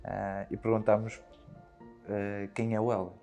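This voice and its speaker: A man puts short, friendly questions to his guest. His voice sits somewhat low, with faint music behind it. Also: little pitch movement, measured pace, gravelly, dark tone. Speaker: interviewer. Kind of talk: interview questions.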